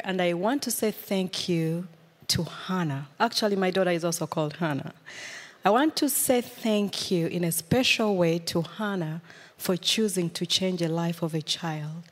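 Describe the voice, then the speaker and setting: A woman in her thirties from Uganda, a guest speaker telling her life story to a church in an earnest, measured way. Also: fairly low pitch, in a large hall. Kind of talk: personal testimony. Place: church sanctuary.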